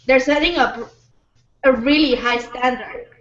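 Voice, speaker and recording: A woman's voice: sits high, a little slow. A woman talking as a panelist in a casual discussion, hesitant and often repeating her words. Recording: roomy, dull lo-fi podcast audio.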